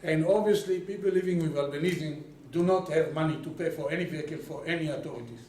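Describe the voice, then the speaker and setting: A man with a fairly high voice gives a statement to the news as an organization's chairperson. He sounds distant from the microphone in an echoey space. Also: quick pace, darkish tone, slight background noise. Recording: echoey room, far from mic.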